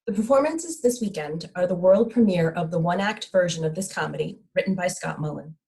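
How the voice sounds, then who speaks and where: A woman's voice: on the low side, brisk, creaky, darkish tone. Woman giving a scripted theater welcome. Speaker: announcer introducing a theater production.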